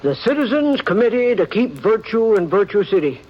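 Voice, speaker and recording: A fussy, stammering frontier doctor. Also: male voice, high voice, sing-song, moderate pace. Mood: pleading. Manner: stammering, wheedling, flustered. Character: fussy, excitable old frontier town doctor. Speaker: town doctor. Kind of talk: 1950s radio drama acting. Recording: muffled vintage radio broadcast with hiss.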